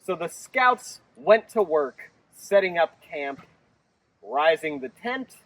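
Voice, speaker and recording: A man reads a children's picture book with theatrical expression. His voice is high and swings widely in pitch. He sounds a bit distant from the microphone. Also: slow. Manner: theatrical, animated. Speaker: children's storytime reader.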